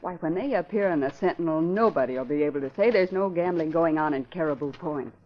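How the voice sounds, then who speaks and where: An actress playing a plucky young female newspaper photographer in a vintage radio drama, brisk and spirited. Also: low-pitched, natural voice. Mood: eager. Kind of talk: 1940s radio acting. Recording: muffled vintage radio recording with faint hiss.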